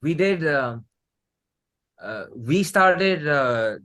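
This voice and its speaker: A man's voice: on the high side, animated, drawn-out words, a bit bright. Indian man in rambling, casual conversation. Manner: rambling, repeats and restarts phrases. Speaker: musician, interview guest.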